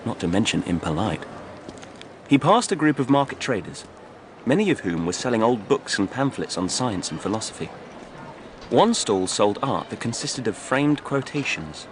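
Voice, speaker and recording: A man's voice: on the low side, sing-song, moderate pace, slight background noise. A man reading fiction as a dramatic audiobook narrator, from a script.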